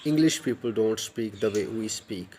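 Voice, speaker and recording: A man's voice: lowish voice, normal speed, a little mellow, a little hiss or hum. A man in his thirties from Pakistan, whose first language is Urdu, speaks English with a Pakistani accent. He gives an earnest, casual lesson straight to a phone camera outdoors, often repeating and restarting words. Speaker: YouTube English tutor. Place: outdoors by a brick wall. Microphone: wired earphone mic, phone at arm's length.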